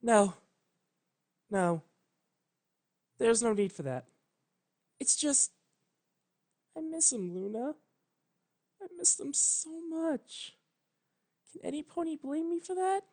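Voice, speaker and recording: A man acts out a young pony princess lost in grief, pitched very high. The delivery is desperate and pleading, swinging with raw emotion as in a dramatic storybook reading. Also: animated, slow, pauses often, darkish tone, heavily acted. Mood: tearful, pleading. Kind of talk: dramatic fan fiction reading.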